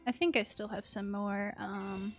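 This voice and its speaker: A woman's voice: mid-range voice, fairly even intonation, measured pace. A woman livestreaming a game in casual chat, playful and prone to muttering little sound words to herself. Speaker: game streamer. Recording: music playing behind the voice. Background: music.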